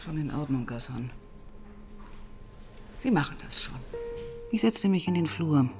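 A woman playing a composed, probing police inspector who narrates and questions in a measured, matter-of-fact way in a radio crime drama. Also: sits low, animated. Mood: reflective, narrating. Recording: studio radio drama with music.

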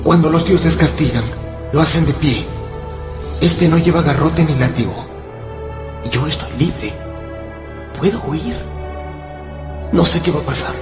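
A man's voice: on the high side, a little slow, long pauses, over music, natural voice. A man voicing a wary, proud wolf-dog thinking aloud in a suspicious, defiant way, in a radio drama. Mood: wary, puzzled. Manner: suspicious, defiant inner monologue. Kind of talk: Spanish-language radio drama acting.

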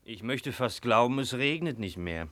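A man's voice: mid-range voice, lively intonation, moderate pace, a bit bright, no room sound, lightly acted. A man playing a jovial, mocking cynic in a German radio play, with a teasing manner. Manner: teasing, chuckling. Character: mocking, jovial, cynical male drinking companion.

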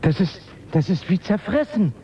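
A man's voice: high voice, sing-song, normal speed, lo-fi sound, background noise. An actor playing a nervous, flustered man of the future in a German radio drama, stammering and repeating his words. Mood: wondering, uneasy.

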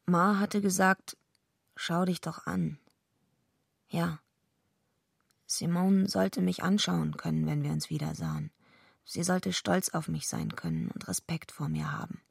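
A woman's voice: fairly low pitch, expressive, a little slow, creaky, pauses often, husky, dry recording, studio quality, exaggerated voice. A woman acting in a radio play as a tough, proud female fighter who narrates her own story intimately and reflectively. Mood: reflective, determined.

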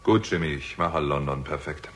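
A man's voice: rumbling low, moderate pace, a bit bright. An actor playing a weary, bitter theatrical agent who narrates his own story in a terse, sardonic way in a 1960s German crime radio drama. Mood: bitter, accusing.

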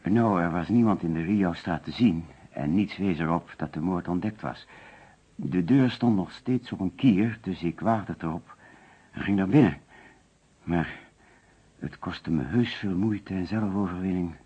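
A dull, lo-fi old radio play recording of an anxious murder suspect. His voice is very low and husky. He explains himself hesitantly and evasively, protesting when pressed. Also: measured pace, warm tone. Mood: uneasy recounting. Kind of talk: old Dutch radio detective play acting.

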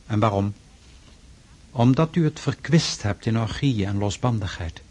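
A solemn Russian freemason, with a low, rough-edged husky voice. He preaches in formal, measured phrases, stern and reproachful, in the manner of a radio play. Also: animated, darkish tone. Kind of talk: radio drama acting.

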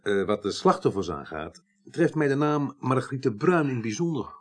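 A methodical, courteous investigator in an eighties Dutch radio crime drama. His mid-pitched voice moves with animated intonation as he reasons things out deliberately and politely. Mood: inquiring, brisk.

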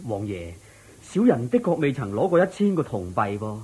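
A man's voice: mid-range voice, big pitch swings, normal speed, dark tone, breathy edge. A man with a Hong Kong Cantonese accent playing a poor, humble musician in a radio drama, meek and pleading. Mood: puzzled pleading.

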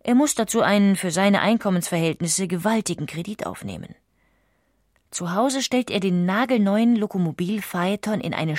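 Low, husky female narrator. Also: a little mellow, no room sound, studio quality. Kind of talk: scripted documentary narration.

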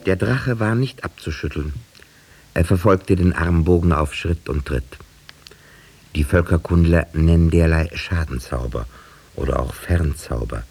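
An actor playing a rational, measured village schoolteacher who narrates and advises in a 1950s radio drama. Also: male voice, low voice, darkish tone. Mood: wry narrating. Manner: measured, didactic.

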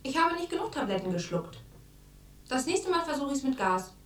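An actress playing a cynical therapy patient in a radio drama, flippant and mocking, with clipped retorts. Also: female voice, high voice, sing-song, moderate pace. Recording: roomy, distant mic.

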